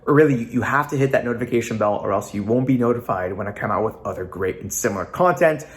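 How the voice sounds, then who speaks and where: A man's voice: mid-range voice, fast talker. A young Canadian man with a North American accent, a native Italian speaker, talking earnestly to camera in a casual vlog with lots of "uh" fillers. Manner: earnest, repetitive, frequent "uh" fillers. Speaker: vlogger.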